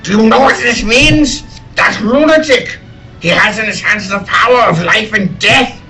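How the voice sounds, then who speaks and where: Man acting a high-pitched excitable scientist. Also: unhurried, faint music behind, heavily acted, low-quality recording. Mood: panicked. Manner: dramatic, emphatic. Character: excitable male scientist, inventor of a deadly machine. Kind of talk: live old-time radio drama acting.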